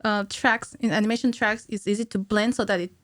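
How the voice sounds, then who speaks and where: Woman explaining her craft, halting delivery. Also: mid-range voice, fairly even intonation, fairly bright tone, no echo. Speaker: guest, cinematic animator. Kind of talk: casual podcast interview, explaining technical work. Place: small curtained podcast studio, indoors. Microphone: boom-arm studio mic with headphones.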